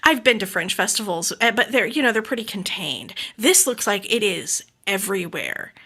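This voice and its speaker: A woman hosting a casual interview, gushing and enthusiastic, quick to laugh as she talks with her guest. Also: mid-range voice, lively intonation, sharp tone, husky. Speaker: podcast host interviewing a playwright.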